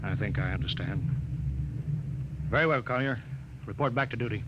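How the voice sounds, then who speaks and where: An actor playing a steady, commanding male spaceship captain in 1950s radio drama style, terse and authoritative. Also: lowish voice, moderate pace, dull audio, close to an everyday voice. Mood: calm, stern. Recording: noisy vintage 1950s radio recording.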